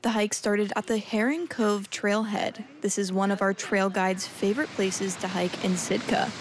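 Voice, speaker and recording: A woman's voice: medium pitch, fairly even intonation, moderate pace, noisy background. A young woman news reporter narrating a forest hike in an upbeat, scripted way, also speaking outdoors on camera. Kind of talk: voice-over narration and on-camera stand-up.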